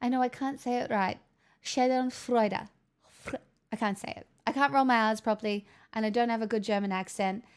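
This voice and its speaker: A young woman chats casually through a livestream, answering viewers, swearing now and then and joking along the way. Her voice is smooth and clear and sits slightly higher than most. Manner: chatty, sweary, joking, answers chat messages. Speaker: livestream host reading chat.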